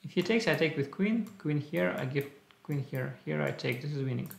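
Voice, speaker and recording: A young man with a slight Russian-tinged accent talks through ideas in short clipped bursts, his fairly high voice carrying a gravelly edge. He sounds like a casual live streamer in a small room, and the room's echo comes through his webcam-distance mic. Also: expressive, moderate pace. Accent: Russian-tinged English. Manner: thinks aloud in short clipped phrases. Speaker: chess streamer. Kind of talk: casual live-stream chat while solving puzzles.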